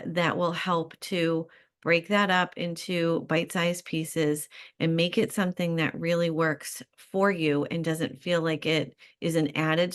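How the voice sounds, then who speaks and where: A woman with a slightly low-pitched voice talks through her advice alone, calm and reflective. She encourages the listener warmly and often pauses on small fillers as she gathers her thoughts. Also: clear, resonant tone. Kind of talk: solo advice talk.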